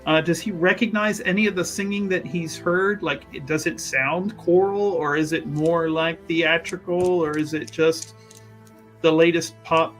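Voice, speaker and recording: A man with a fairly high voice talks through his moves in a tabletop roleplaying game. He is wordy and casual, reworking his phrases as he thinks aloud. Soft music plays behind him. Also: steady tone, measured pace.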